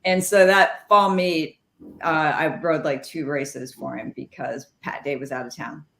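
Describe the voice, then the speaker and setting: Middle-aged woman guest, lively storyteller, echoey room. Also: low-pitched, a little slow, creaky. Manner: animated anecdotes with quoted dialogue. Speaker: guest, former jockey. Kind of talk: storytelling interview.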